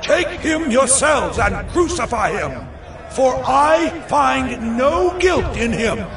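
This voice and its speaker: An actor playing an imperious, exasperated Roman governor in a scripture drama, with declamatory, drawn-out lines. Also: male voice, extremely high pitch, big pitch swings, noisy background, exaggerated voice. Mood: proclaiming to crowd. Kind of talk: dramatized scripture audio acting. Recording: distant mic under loud music.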